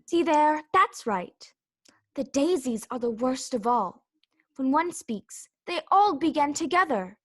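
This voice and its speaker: A woman's voice: high voice, lively intonation, slow. A woman voicing a bossy, haughty talking tiger lily in a children's read-aloud play, with an imperious, scolding manner. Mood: haughty, lecturing.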